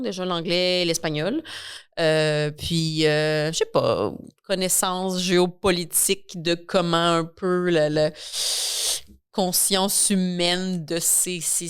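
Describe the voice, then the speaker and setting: Smooth, lower-pitched Quebec French woman. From Quebec, Canada. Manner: hesitant, repeats words while searching for phrasing. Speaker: interview guest, newcomer reception coordinator. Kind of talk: conversational podcast interview.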